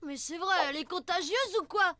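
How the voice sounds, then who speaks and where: A woman's voice: very high voice, fairly quick, background noise. A woman voicing a cheeky, lazy schoolboy ninja in a cartoon, slangy and mocking. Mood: cheeky mockery. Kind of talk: French cartoon dubbing.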